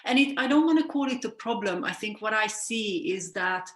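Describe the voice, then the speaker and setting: A woman's voice: medium pitch, expressive, moderate pace, fairly bright tone. A woman, a sleep coach explaining ideas in an earnest, reflective way and sometimes correcting herself mid-sentence. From Hungary. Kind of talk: explanatory interview talk. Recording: roomy echo.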